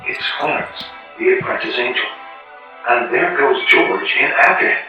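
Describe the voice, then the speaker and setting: A man's voice: mid-range voice, expressive, moderate pace, natural voice. A man performing as the solemn storyteller of a 1940s-style radio play, with dramatic scripted delivery. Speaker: narrator. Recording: dull, very echoey, distant, with music.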